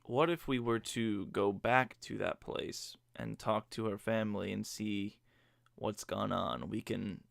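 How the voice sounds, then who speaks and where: A man playing a tabletop game over a video call, planning the next move in a low-key way and thinking aloud. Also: fairly even intonation, measured pace, vocal fry, no echo, clean studio sound. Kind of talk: casual planning chat between players.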